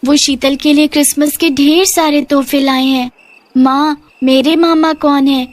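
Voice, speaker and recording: Woman playing a high-voiced, wistful little girl. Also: steady tone, unhurried, no room sound. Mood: pleading, wistful. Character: wistful, eager little girl longing for an uncle. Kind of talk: children's moral story voice acting.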